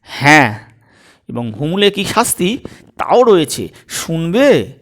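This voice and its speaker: Man acting young student husband, eager, hushed, pleading, slow, comic audio story. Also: fairly high pitch, big pitch swings, heavily acted. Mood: mock grave.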